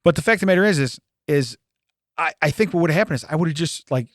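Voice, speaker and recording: Lively laughing man in his forties. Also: a little high, fast talker, no echo, clean studio sound. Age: 40s. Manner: animated, laughs often, acts out little scenes. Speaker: podcast co-host. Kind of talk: casual chat.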